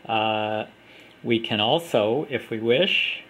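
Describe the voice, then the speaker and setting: A man giving a plain, unhurried walkthrough of an app, recorded on a camera mic indoors at a table. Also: on the low side, a bit bright, breathy edge, low-quality recording. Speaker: app developer demonstrating his app. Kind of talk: casual product demo.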